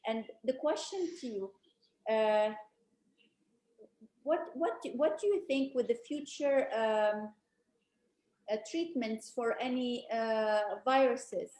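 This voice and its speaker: A woman moderating a conference panel, chatty and gracious, often pausing with fillers. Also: on the high side, reverberant, rough recording. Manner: warm, chatty, many fillers.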